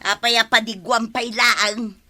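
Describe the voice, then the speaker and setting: A woman's voice: fairly high pitch, steady tone, a little slow, sharp tone. A woman with an Ilocano accent playing a chatty, thrifty wife in a comedic radio drama, with music behind. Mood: grudging.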